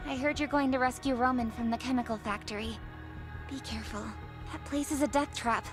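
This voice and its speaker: A woman's voice: a little high, steady tone, a little fast, over music, close to an everyday voice. A woman voicing a caring female shelter resident who urges caution.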